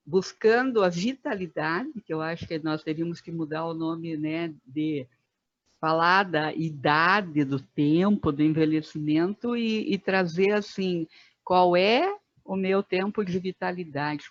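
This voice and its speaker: A woman in her fifties, a guest facilitator, speaking thoughtfully in a podcast conversation with many pauses and fillers. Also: lowish voice, dry recording, lo-fi sound. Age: 50s.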